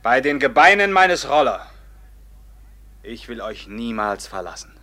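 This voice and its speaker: Brooding noble robber captain, bright forceful tone, theatrical radio-drama declamation from murmur to outburst. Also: male voice, medium pitch, sing-song, measured pace. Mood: solemn vow. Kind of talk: classic German radio play acting.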